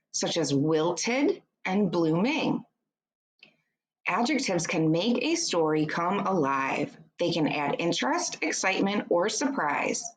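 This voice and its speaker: A woman reads an educational children's book aloud like a teacher, in a deliberate, instructive way, adding short explanations for young pupils. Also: on the low side, rough recording.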